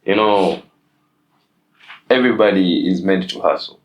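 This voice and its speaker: A man's voice: low voice, a little slow, rough recording. A young man hosting a casual interview, talking in a hesitant way with many fillers. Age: young adult. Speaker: host.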